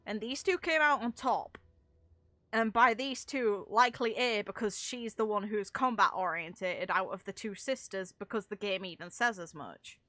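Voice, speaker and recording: A woman game streamer giving casual, chatty gameplay commentary, thinking aloud in an excitable way. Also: mid-range voice, moderate pace, no room sound.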